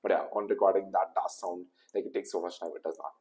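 A young man with an Indian English accent talks fast through a screen-recorded tutorial. His voice sits fairly low and his talk is loose and full of fillers, recorded on a webcam mic indoors. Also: fairly even intonation, darkish tone. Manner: quick, loose, with frequent fillers. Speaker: tutorial host. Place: indoor room.

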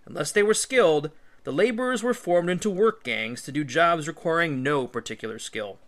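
A man's voice: fairly high pitch, animated, normal speed, fairly bright tone. A male teacher reading an illustrated history book aloud, with emphatic, instructional phrasing.

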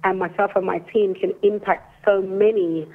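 A woman in her forties with an English accent talks over a thin, tinny phone line. Her delivery is earnest and deliberately slow, and her voice sits in a middle register. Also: medium pitch, fairly even intonation. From Jamaica. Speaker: guest, restorative justice coordinator. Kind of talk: phone-in interview, explaining a program. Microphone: telephone.

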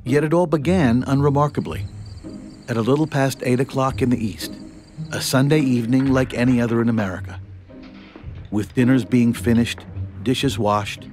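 A man reading scripted documentary narration in a measured storytelling manner. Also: mid-range voice, fairly even intonation, darkish tone, faint music behind.

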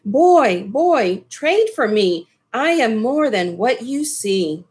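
A woman's voice: a little high, sing-song, slow pace, a little mellow. A woman voicing a boastful, jaunty magic wok from a storybook, chanting in sing-song rhyme. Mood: coaxing.

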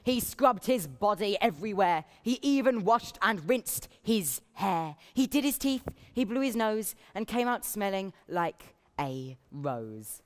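A woman's voice: mid-range voice, animated, measured pace, few pauses, close to an everyday voice. A woman narrating a rhyming story theatrically on stage. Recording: stage performance in echoey hall.

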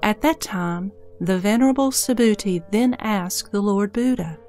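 A woman's voice: mid-range voice, unhurried, a little mellow. A woman reading scripted narration for a religious audio drama. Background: music.

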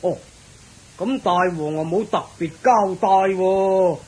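A dutiful captain of the royal guard in a radio drama. His voice is notably high for a man. He speaks like an official, yet casually, drawing out his interjections. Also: takes it slowly, darkish tone. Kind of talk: Cantonese radio drama acting.

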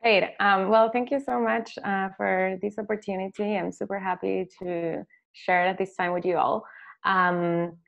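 A woman's voice: medium pitch, flat delivery, measured pace, creaky. A woman in her thirties from Argentina, an agency owner asking a question on a video call, speaking hesitantly with many fillers and restarts. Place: home living room. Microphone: laptop webcam on a video call. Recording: dull lo-fi video call audio.

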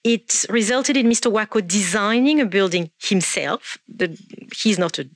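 A woman gives a talk from a lectern, speaking in a measured way with frequent ums and restarts. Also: mid-range voice, lively intonation, no room sound, clean studio sound. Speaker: art researcher giving a talk. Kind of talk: presentation lecture. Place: indoor stage at a lectern. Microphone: stand mic at lectern.

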